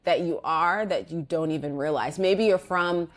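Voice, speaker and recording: A woman's voice: fairly low pitch, normal speed. A woman in an interview conversation, talking reflectively in loose sentences with frequent restarts and fillers. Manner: reflective, speaks in loose restarts with many "like" and "you know". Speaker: guest, organizational psychologist.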